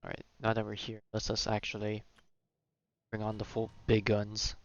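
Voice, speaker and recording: Low, even-toned man streaming a game. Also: normal speed, creaky, dull audio. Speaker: live streamer playing a video game. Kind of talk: casual game commentary, reading dialogue aloud.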